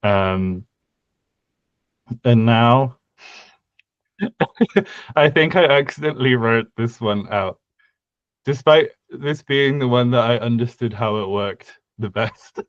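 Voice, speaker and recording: Slow, hesitant man with a smooth voice. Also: mid-range voice, no echo, dull audio. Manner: hesitant, lots of fillers and restarts. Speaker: podcast co-host. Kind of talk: casual conlang design discussion.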